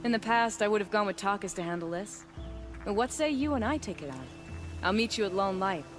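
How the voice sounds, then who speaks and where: A woman's voice: medium pitch, expressive, fairly quick, soft background music, close to an everyday voice. An actress as a brusque, commanding female hunter. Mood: matter-of-fact. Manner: brusque, no-nonsense. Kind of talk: cinematic game dialogue.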